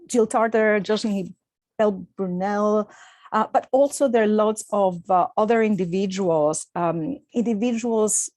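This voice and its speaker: Greek-accented woman, middle-aged, mid pitch, earnest presenter, dry close mic. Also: unhurried, darkish tone, no room sound. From Greece. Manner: earnest, with frequent uh and um fillers. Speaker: astronomer giving a talk. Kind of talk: presented talk with slides.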